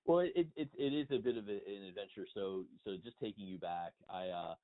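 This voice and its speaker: A man's voice: fairly low pitch, a little fast, phone-quality sound. A middle-aged man speaking as a guest in a conversational phone interview, wordy and emphatic. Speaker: interview guest, industry consultant and blogger. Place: remote phone call.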